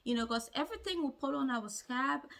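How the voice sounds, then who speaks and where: A woman's voice: high voice, animated, fairly quick, gravelly, a bit bright. A woman vlogger telling a personal story to camera in an earnest, emphatic way, repeating phrases to drive points home. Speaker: hair-care vlogger.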